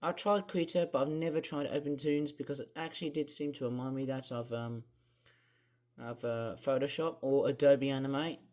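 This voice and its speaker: Young man, croaky voice, rambling vlogger. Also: mid-range voice, steady tone, normal speed, a little mellow. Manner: rambling, many fillers and restarts. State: croaky, clearing throat. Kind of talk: casual screen-share vlog.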